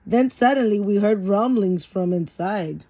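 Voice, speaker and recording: Slow-paced woman reading a picture book aloud. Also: medium pitch, mellow. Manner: stumbles over harder words and corrects herself. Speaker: story reader.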